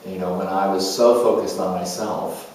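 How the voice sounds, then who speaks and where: A man playing an earnest, self-assured spiritual coach in a dramatized monologue, reflective and halting, with deliberate pauses. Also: low-pitched, steady tone, darkish tone, a little hiss or hum, close to an everyday voice. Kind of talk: dramatized monologue as if answering an interview. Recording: distant mic in very echoey room.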